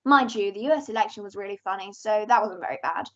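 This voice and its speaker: A woman's voice: mid-range voice, quick pace, lightly acted. A young English woman acting in an amateur radio play as a bossy, opinionated aunt recounting past events dramatically. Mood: opinionated storytelling. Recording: dull lo-fi home recording.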